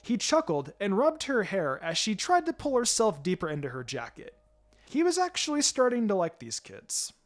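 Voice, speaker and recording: A man's voice: sits high, huge pitch swings, normal speed. A man reading fan fiction aloud as a narrator, in a dramatic scripted storytelling style. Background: music.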